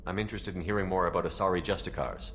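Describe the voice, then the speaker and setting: A man playing a human military commander in a video game, steady and supportive, with a direct, plainspoken manner. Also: sits low, very fast, faint music behind. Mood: curious, businesslike. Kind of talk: video game dialogue acting.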